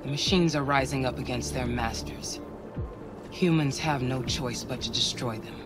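A woman's voice: a bass voice, normal speed. A woman playing a cold, authoritative handler of an android in a video game, stern and controlled. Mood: cold, commanding. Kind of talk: cinematic video game drama. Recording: loud music under the voice.

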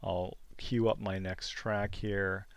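A man giving a casual, easygoing software tutorial as host, thinking aloud as he goes. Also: on the low side, flat delivery, slow, clean tone, dry recording. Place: indoors at a computer, screen-recorded demo. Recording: music bed playing behind the voice. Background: music.

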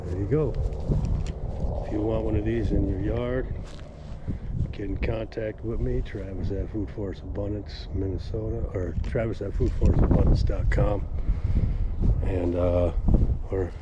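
A man's voice: lowish voice, fairly even intonation, a little slow, pauses often, muffled recording, heavy background noise. Upbeat man vlogging outdoors on a selfie stick. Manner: rambling, upbeat, self-correcting. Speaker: landscaper vlogging a garden build. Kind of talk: casual walk-and-talk vlog. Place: suburban backyard outdoors. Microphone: action camera on a selfie stick.

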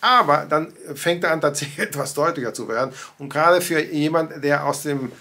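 A man's voice: fairly high pitch, brisk, sharp tone. A man in his sixties, a native Spanish speaker, giving conversational commentary to camera from his home study, with frequent fillers and restarts. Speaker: commentator on church affairs. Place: home study with bookshelves and pictures.

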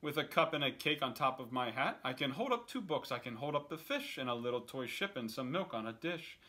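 A man's voice: mid-range voice, big pitch swings, a little fast, bright, forceful tone. A man voicing a playful, boastful cat showman from a picture book, exuberant and showy.

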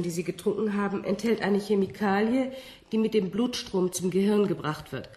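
An actress in a radio play, playing a composed woman scientist who explains things in a measured, slightly condescending way. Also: medium pitch, dark tone, natural voice. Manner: didactic, measured, with small rhetorical tags.

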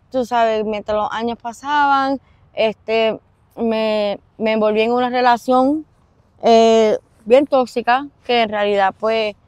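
A young woman with a Puerto Rican accent giving personal testimony in an interview, halting and pausing mid-sentence. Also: fairly high pitch, darkish tone, dry recording. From Puerto Rico. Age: young adult. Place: outdoors in an open park.

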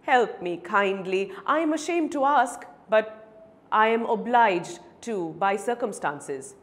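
A woman's voice: mid-range voice, expressive. A woman voicing a ragged, humble male beggar in a dramatic story reading, pleading and evasive. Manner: plaintive, halting when challenged.

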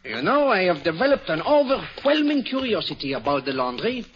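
An actor playing a fussy, clever Belgian detective with a French accent, in theatrical 1940s radio acting. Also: male voice, high voice, a little fast, a little hiss or hum. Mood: probing. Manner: theatrical, lively, coaxing and ironic. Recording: dull old radio broadcast.

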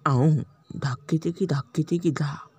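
A man's voice: on the high side, fairly even intonation, normal speed, a little mellow, breathy edge. A man voicing a boastful, lovesick young male slacker in a cartoon parody dub, chanting in a sing-song way.

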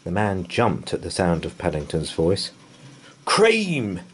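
A man reading a bedtime story aloud in an expressive, deliberate way. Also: deep voice. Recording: faint background noise.

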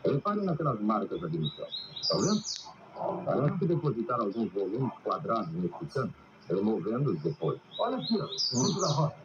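An actor with a Brazilian accent playing a methodical, observant police inspector, deliberate and theatrical in an old radio play. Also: male voice, on the low side, huge pitch swings. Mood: observant, grave. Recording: dull noisy radio speaker, ambient birds.

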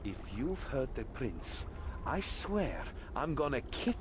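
An actor playing a cocky, sarcastic young adventurer in a video game, flippant and expressive. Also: male voice, medium pitch, takes it slowly, background noise. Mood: tense, irritated.

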